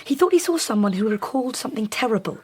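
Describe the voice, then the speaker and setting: An actress playing a composed, devoted young Victorian wife, speaking precisely and formally in a radio drama. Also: female voice, a little high, lively intonation, slightly rough. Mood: anxious, earnest. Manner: measured, precise, softly formal.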